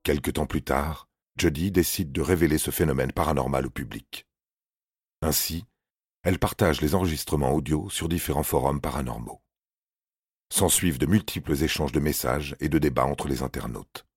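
A man's voice: very deep voice, moderate pace, husky, dry recording, studio quality. A man narrating scripted paranormal stories in a grave, suspenseful, dramatic manner. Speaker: narrator of a paranormal true-story podcast.

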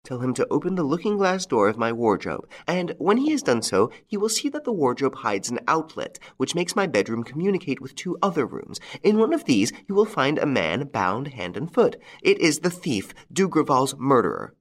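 A man voicing a half-demented, vengeful older widow who shrieks high in panic and hisses in harsh whispers. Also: big pitch swings, moderate pace, hardly any pauses. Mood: urgent. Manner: shrill, stammering, then harsh whisper.

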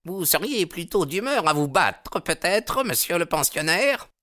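A man's voice: medium pitch, sing-song, a little slow, fairly bright tone, no echo, voice pushed up. A man voicing an arrogant, jealous young rival in an audiobook, brusque, sneering and hot-tempered. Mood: furious, sneering.

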